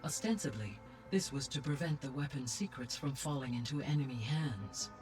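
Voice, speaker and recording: A woman acting a wise female artificial intelligence, composed, deliberate and precise, in a video game cutscene. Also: rumbling low, expressive. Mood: composed, analytical. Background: faint music behind the voice.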